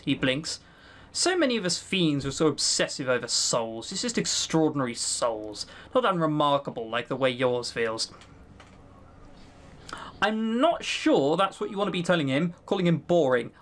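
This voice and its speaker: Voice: mid-range voice, fairly bright tone. A voice actor playing an ancient fiend trapped in a circle for centuries, mocking and brooding, breaking into anguished outbursts, in a first-person audio roleplay. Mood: musing.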